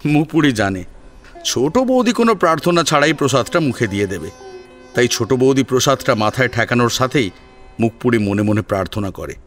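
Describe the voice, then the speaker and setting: A man reading scripted story narration in an expressive, dramatic storytelling style. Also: medium pitch, brisk. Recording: soft music under narration. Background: sitar music.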